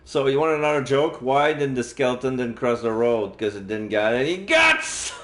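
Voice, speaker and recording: A man's voice: mid-range voice, big pitch swings, measured pace, fairly bright tone, a little hiss or hum. A man livestreaming a visual novel, reading the text aloud casually with jokey, muttered asides. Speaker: streamer playing a visual novel. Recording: roomy sound, faint game music. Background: faint game music.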